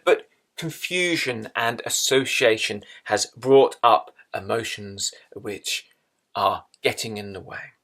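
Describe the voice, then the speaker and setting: A man leading a guided meditation in a measured way, leaving long pauses between phrases. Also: lowish voice, a bit bright.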